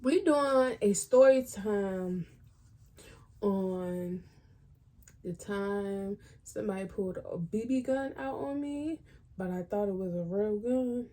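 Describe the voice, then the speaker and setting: A woman's voice: medium pitch, takes it slowly, mellow. A woman vlogger telling a personal story in casual, colloquial speech, acting out what people said. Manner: informal, colloquial phrasing.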